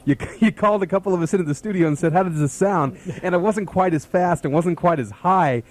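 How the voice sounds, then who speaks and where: A man's voice: sits high, a little fast. A man, a radio station staffer, reminiscing in casual, chatty conversation.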